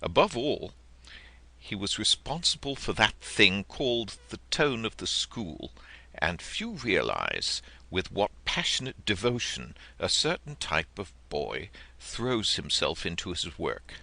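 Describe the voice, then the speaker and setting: Deep, very bright, expressive male audiobook narrator. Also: slow pace, creaky, dry recording. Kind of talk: literary storybook narration.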